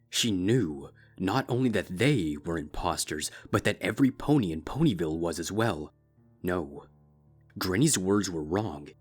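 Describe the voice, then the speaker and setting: A man narrating a dark fan fiction story in a steady, measured way. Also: low voice, slightly rough. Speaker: fanfic reader. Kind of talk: scripted narration.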